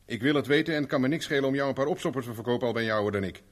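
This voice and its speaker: An actor in a 1970s radio play playing a tough, blunt investigator with a curt, sardonic, informal manner. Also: male voice, medium pitch, rapid-fire, lightly acted. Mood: blunt, urgent. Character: tough, blunt, wry private investigator, adult man.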